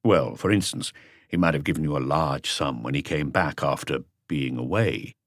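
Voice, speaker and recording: A man playing a patient male police inspector who asks measured, pressing questions in an audiobook. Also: rumbling low, no room sound, studio quality, pitched below the performer's own voice. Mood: patient, probing. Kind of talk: audiobook character voice.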